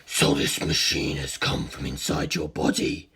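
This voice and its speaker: A narrator voicing a cold, inhuman male ultimate being, speaking slowly and breathily with detached curiosity. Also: a bass voice, little pitch movement, fairly bright tone, voice pushed down, full character voice. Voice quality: breathy, half-whispered. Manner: slow, deliberate, detached.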